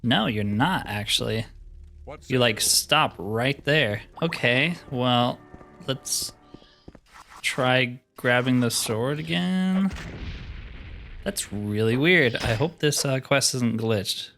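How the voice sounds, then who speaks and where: A man's voice: medium pitch, animated, takes it slowly, creaky, pushed and bright. A man giving casual, mildly exasperated commentary while playing a video game, thinking aloud as he goes. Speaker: gaming video host.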